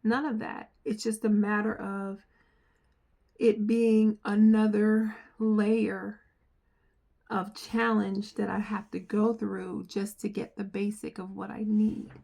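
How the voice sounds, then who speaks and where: A middle-aged woman from the United States, with an accent that mixes New Jersey, New York and North Carolina, talking earnestly to camera as a software trainer and repeating phrases for emphasis. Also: medium pitch, level tone, slow, vocal fry. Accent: mixed New Jersey, New York and North Carolina. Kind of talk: earnest direct-to-camera talk.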